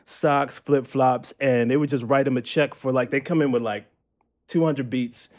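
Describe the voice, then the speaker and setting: A young man chatting casually as a podcast guest, talking about his work as an audio engineer in a relaxed, rambling way full of "like". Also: mid-range voice, moderate pace. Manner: relaxed, rambling, fills speech with "like". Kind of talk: casual chat.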